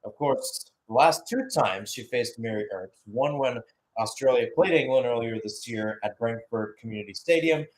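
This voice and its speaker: A man's voice: medium pitch, moderate pace, poor audio. A man calling a football match live as a radio-style play-by-play commentator. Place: commentating remotely, not at the stadium.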